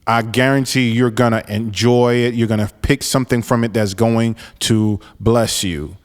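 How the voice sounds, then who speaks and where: Forties male podcast host, earnest and halting, smooth resonant tone, reverberant room. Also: mid-range voice, fairly even intonation. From New York, raised in Florida. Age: 40s. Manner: earnest, halting with many restarts and fillers. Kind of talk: solo podcast monologue.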